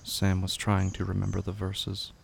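Guy narrating horror story, super gravelly and deep, somber, measured, faint ambient noise behind. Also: completely flat delivery. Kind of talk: scripted horror fiction narration.

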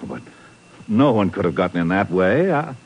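An actor playing a sly, scornful spy villain in 1950s radio drama, mocking and theatrical. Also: male voice, deep voice, sing-song, moderate pace, breathy edge, heavily acted. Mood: scornful, defensive. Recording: dull lo-fi 1950s radio broadcast.

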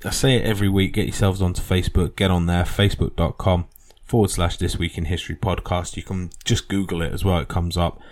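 A man's voice: deep voice, level tone, quick pace, few pauses, a little mellow. A man hosting a history podcast, talking casually and earnestly with plenty of fillers and restarts. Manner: rambling, earnest, many fillers.

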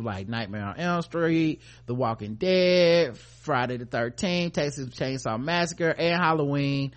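An American man co-hosting a podcast in casual, profane, slangy chat, playfully addressing his listeners. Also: fairly high pitch. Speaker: podcast co-host.

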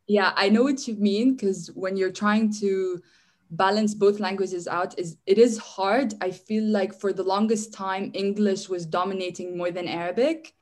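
A young woman from Syria talks as a guest in a relaxed interview, in a middle-pitched voice. Her talk runs on in long strings, packed with "like" and frequent false starts. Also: unhurried. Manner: chatty, restarts often, says "like" a lot. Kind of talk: casual interview.

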